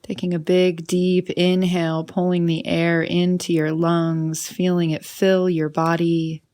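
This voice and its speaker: A woman leading a guided meditation in a soothing, unhurried manner, often trailing off into breathy softness. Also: fairly low pitch, flat delivery.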